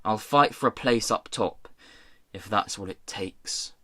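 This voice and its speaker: A man's voice: on the low side, a little slow, sharp tone, no room sound, studio quality. Guy doing tough desperate girl prisoner in a storybook, pleading but defiant.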